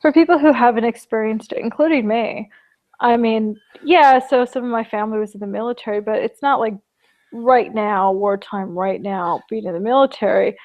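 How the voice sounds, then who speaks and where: A woman's voice: on the high side, normal speed, highs cut off. A woman hosting an interview, asking questions and reflecting sympathetically. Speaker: interview host. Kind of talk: casual interview talk.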